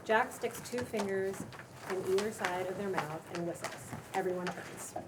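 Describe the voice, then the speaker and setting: A woman's voice: mid-range voice, normal speed. A woman narrating the stage directions of a script at a cold table read. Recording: distant mic, clearly noisy room.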